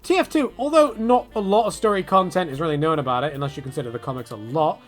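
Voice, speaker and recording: A man's voice: on the high side, fast. A man giving a casual, opinionated rant in a rambling, tongue-in-cheek way, often interrupting himself. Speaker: host of a game-character rant video. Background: music behind the voice.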